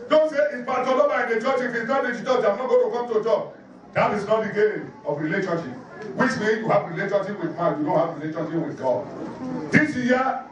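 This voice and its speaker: A very echoey, distant recording of a man preaching. His voice is pitched extremely high, and he drives each point home with emphatic repetition, like a pastor instructing a congregation. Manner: emphatic, repetitive, numbered exhortations with scripture quotes. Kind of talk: sermon. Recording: very echoey room, distant microphone.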